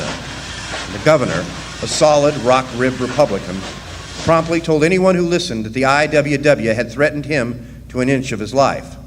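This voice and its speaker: A man acts out a plainspoken miner recounting events in a live radio play. His voice sits at a middle pitch, folksy and wry. It rings through a reverberant hall over faint noise. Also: close to an everyday voice. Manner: folksy, wry, deliberate storytelling. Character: plainspoken drifter miner looking back as the storyteller, wry. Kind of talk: live radio drama, folksy narration and dialogue. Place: live performance in a resort hall.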